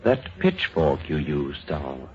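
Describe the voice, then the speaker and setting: Uneasy, thoughtful male writer, low and deliberate with dramatic swings, old radio drama, dull recording. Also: sing-song, a little mellow, faint music behind, heavily acted. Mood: grave, probing. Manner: slow, deliberate.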